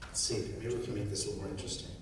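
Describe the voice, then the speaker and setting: A man's voice: lowish voice, fairly even intonation, racing, noisy background. Man from Syria, middle-aged, off-the-cuff panel talk, distant mic, very echoey hall. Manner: thoughtful, with frequent restarts. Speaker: conference panelist. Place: conference hall.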